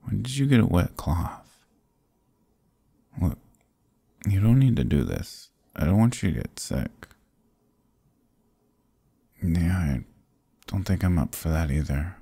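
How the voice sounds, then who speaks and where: Low husky male voice, actor playing sick stubborn boyfriend, ASMR roleplay, slow, tender, sleepy murmur, close dry mic. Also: dry recording, heavily acted. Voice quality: husky, gravelly, breathy. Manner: soft, drowsy, murmuring to a partner. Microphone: close, dry microphone.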